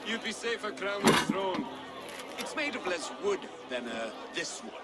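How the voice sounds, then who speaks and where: An actor playing a belligerent, mocking Danish warrior who sneers and taunts in a video game cutscene. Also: male voice, high-pitched, big pitch swings, a little slow. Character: belligerent, mocking male Danish warrior, bride's hostile brother. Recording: loud music and crowd behind.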